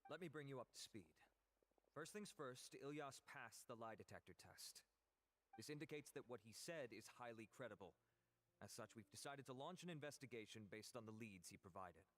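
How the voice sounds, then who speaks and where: A man's voice: mid-range voice, animated, moderate pace, fairly bright tone, slight background noise, lightly acted, low-quality recording. A man voicing a polite, formal male investigator in a game who sticks to procedure.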